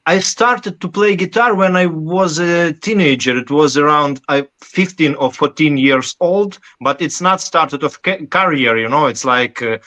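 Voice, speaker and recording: A man from Ukraine answers an interview in careful, halting English, pausing often to find his words. His voice is bright and forceful, and sits a little high for a man. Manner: halting non-native English with many pauses. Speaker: guest musician.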